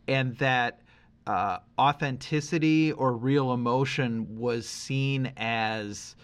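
A middle-aged man co-hosting a podcast, talking casually and reflectively, working through his thoughts haltingly with qualifications. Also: mid-range voice, smooth. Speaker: podcast co-host.

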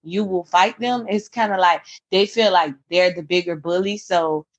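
A young woman with a slightly low voice recounts her past as an interview guest. She speaks earnestly and reflectively, circling back and restarting phrases as she works through her thoughts. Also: steady tone, moderate pace. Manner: earnest, reflective, many restarts and "you know". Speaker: author guest. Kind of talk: personal storytelling in a podcast interview.

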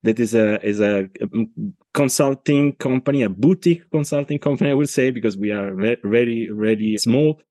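A middle-aged man with an Italian accent, a consultant speaking as a podcast guest, talking in a halting way with many restarts. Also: mid-range voice, warm tone, no room sound. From Italy. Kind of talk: casual interview talk.

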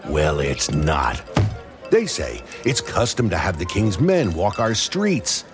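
A man's voice: on the low side, wildly swooping pitch, over music, heavily acted. An actor playing a fiery male pamphleteer who speaks with passion. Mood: impassioned. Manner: starts halting, builds to impassioned.

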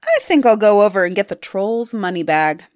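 Cheeky storybook boy, fearless and taunting, read by a woman, swinging high and low. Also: lively intonation, normal speed, a little mellow, no room sound, studio quality, close to an everyday voice. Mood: cocky. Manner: gleeful, taunting.

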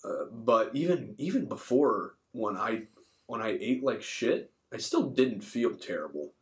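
A man's voice: mid-range voice, sing-song, measured pace. A thirty-year-old man chatting casually as a podcast co-host, informal and freely swearing. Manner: informal, profane. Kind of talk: casual chat.

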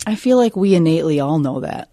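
A woman's voice: sits low, expressive, quick pace, dark tone, no echo. A woman guest chatting casually, with short, emphatic, affirming replies. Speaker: podcast guest, energy healer and hairstylist. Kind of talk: casual conversation.

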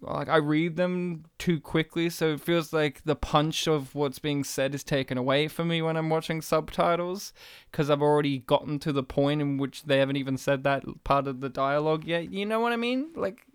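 A man with a fairly high, smooth voice, recorded dry and close. He chats casually about films like a podcast host, animated, talkative and teasing. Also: moderate pace, no echo. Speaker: film discussion podcast host. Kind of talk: casual film chat.

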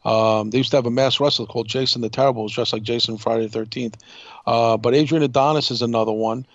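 A man's voice: medium pitch, steady tone, quick pace, dry recording, dull audio. A man co-hosting a podcast in casual talk, speaking emphatically and repeating himself for effect. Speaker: podcast co-host.

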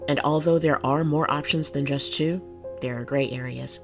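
A woman's voice: deep voice, steady tone, moderate pace, over music. A woman playing a jaded but kind-hearted city cop in an audio drama, plain-spoken and reassuring. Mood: weary, reassuring.

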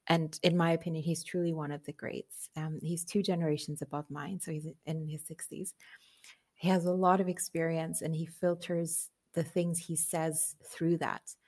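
A woman's voice: sits low, steady tone, normal speed, darkish tone. A woman guest on a podcast, talking in a casual, earnest way, laughing often and using many fillers. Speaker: podcast guest, business coach. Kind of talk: casual conversational interview.

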